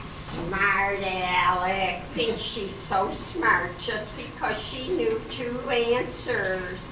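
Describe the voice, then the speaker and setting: Casting: woman as naive, excitable folksy contestant, very drawn-out delivery; amateur stage comedy, distant noisy recording. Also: mid-range voice, reverberant, lo-fi sound. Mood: peevish. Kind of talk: amateur stage radio-play comedy acting.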